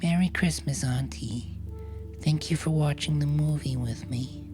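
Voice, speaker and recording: A man voicing a sleepy, affectionate little girl with a soft, sweet manner in an audiobook story, over music. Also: a little high, measured pace, voice pushed up, natural voice. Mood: sleepy, tender. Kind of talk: dramatised audiobook dialogue.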